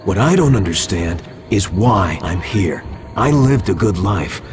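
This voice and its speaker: Music plays behind a low, expressive male voice. a troubled, stubborn fighter stranded in purgatory, earnest and terse, as in a video game cutscene. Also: unhurried. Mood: troubled, protesting.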